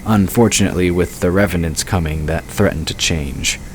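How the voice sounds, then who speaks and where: Male narrator for scripted fantasy audio drama, deep register, slight background noise. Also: normal speed, rough recording.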